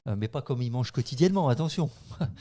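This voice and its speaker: Warm-voiced man musing at length. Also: mid-range voice, expressive, fast talker. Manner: thoughtful, explains at length with stumbles. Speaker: co-host. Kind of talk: casual chat.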